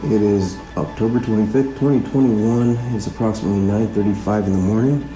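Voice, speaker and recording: An actor playing a methodical small-town police chief conducting a recorded interview in a halting, procedural way, in a naturalistic fiction podcast. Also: male voice, fairly low pitch, steady tone, dark tone, close to an everyday voice. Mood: matter-of-fact. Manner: procedural, halting, full of hesitations. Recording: roomy interview-room echo, music behind.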